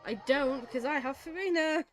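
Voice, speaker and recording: A woman's voice: sits high, moderate pace, soft background music. A British woman giving casual, sweary, exasperated commentary as she plays a video game. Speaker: video game streamer playing and reacting. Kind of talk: casual chat, let's-play commentary.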